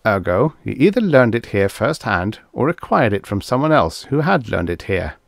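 A man's voice: on the low side, sing-song, normal speed, vocal fry, no echo, clean studio sound. Narrator voicing a commanding, emphatic man in charge. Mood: insistent, reasoning. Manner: assertive, emphatic, reasoning aloud. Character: commanding, take-charge man giving brisk orders. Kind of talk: audiobook character dialogue.

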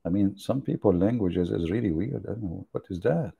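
A man hosting a casual livestream, laughing and teasing as he goes. His voice is very dark and husky, with plenty of gravel. It comes through a dull, lo-fi computer mic. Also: lowish voice, lively intonation, brisk. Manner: mocking, joking, laughs at his own jokes. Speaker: online religious debate host.

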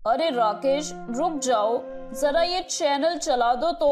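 A woman's voice: high voice, takes it slowly, a little mellow, faint music behind. A woman voicing a boastful, insistent older mother and home cook in a Hindi cartoon story. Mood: indignant. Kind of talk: Hindi animated moral-story dialogue.

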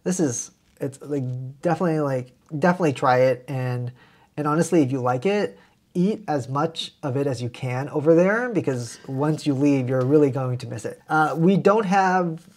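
A man's voice: fairly high pitch, moderate pace, a little mellow. Man, 30s, from the US, travel vlog co-host, casual, eager, stop-start phrasing, lapel mic. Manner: chatty, many fillers and restarts, enthusiastic. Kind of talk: casual food recommendations to camera. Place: indoor home room with plants.

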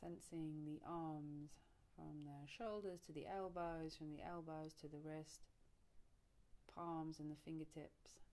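A woman leading a guided relaxation meditation, soothing and unhurried, speaking in short phrases with long pauses. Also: low-pitched, steady tone, a little mellow. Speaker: yoga nidra teacher, meditation guide.

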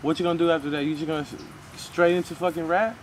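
Male interviewer, slightly high voice, slangy and swearing, encouraging, faint outdoor noise. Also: fairly even intonation, very fast. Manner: profane, encouraging. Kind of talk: casual slangy chat interview. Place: outdoor backyard, off camera.

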